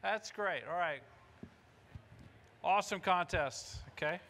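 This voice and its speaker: A man's voice: high voice, slow, bright, forceful tone, slight background noise. A man in his fifties giving an informal live product demo on stage, talking to the audience in short phrases. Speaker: presenter pitching a product demo. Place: stage in a large indoor conference hall.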